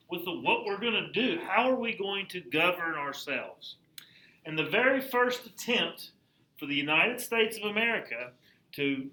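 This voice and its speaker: A man teaching a high school history class in a casual lecture style, repeating key points and checking in with the class. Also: a bit bright, roomy sound, low-quality recording. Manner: conversational, repeats key points, asks class if it makes sense.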